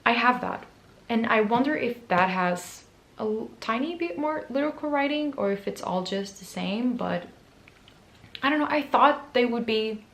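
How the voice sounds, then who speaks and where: Hoarse, gravelly-voiced woman book vlogger. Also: a little high, animated, moderate pace, fairly bright tone, reverberant. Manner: chatty, self-deprecating. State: hoarse, raspy voice from screaming. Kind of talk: casual book chat to camera.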